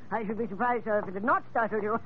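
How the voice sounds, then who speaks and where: An actor playing a pompous, genial, hard-drinking major in florid, courtly 1940s radio style. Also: male voice, sits high, fairly even intonation, fairly quick, lightly acted. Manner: pompous, flowery, hesitant, chuckling. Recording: noisy, narrow-band old radio recording.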